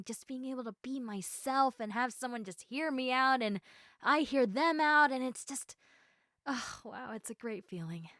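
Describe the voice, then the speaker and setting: A woman playing an eager, socially awkward, chatty young woman in a roleplay audio, halting and excitable as she talks to the listener. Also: high voice, dry recording, clean studio sound, heavily acted.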